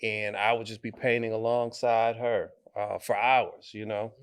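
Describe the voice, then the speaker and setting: A man from Detroit, a guest artist, answering interview questions in a thoughtful, halting way, with many fillers and restarts. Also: fairly low pitch, little pitch movement, clear, resonant tone. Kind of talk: conversational interview.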